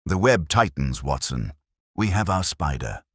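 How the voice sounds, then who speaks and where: Man acting as a composed Victorian sleuth, extremely low voice, animated swoops, theatrical, precise, storybook detective. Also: a little slow, slightly rough, no room sound, clean studio sound, exaggerated voice. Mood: commanding, triumphant. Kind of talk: audiobook detective story dialogue.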